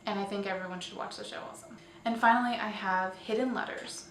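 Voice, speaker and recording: Female vlog host, informal and hesitant, mid-pitched, recorded away from the mic in an echoey room. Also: steady tone, fast talker, a bit bright. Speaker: host of a weekly online show. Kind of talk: casual scripted-outline vlog commentary. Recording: roomy, distant mic, faint noise.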